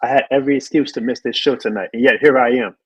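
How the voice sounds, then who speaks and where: Worn-out husky guy ranting hard about his team on a live show, super dry mic sound. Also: medium pitch, steady tone, fast talker, dry recording. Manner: passionate, rants and repeats lines for emphasis. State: hoarse, losing his voice. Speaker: sports show host. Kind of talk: live sports rant and chat reading.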